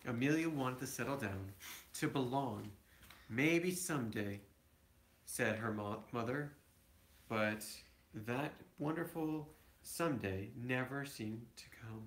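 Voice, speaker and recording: Echoey man reading a picture book aloud. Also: medium pitch. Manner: halting, with small stumbles and asides to children. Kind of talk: read-aloud storytelling with casual asides. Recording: echoey room, home recording.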